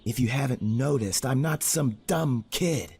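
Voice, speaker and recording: Man acting a raspy, sullen teenage boy. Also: medium pitch, unhurried, darkish tone. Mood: sullen, whiny. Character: sullen, hot-tempered thirteen-year-old boy. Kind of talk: horror audio drama acting.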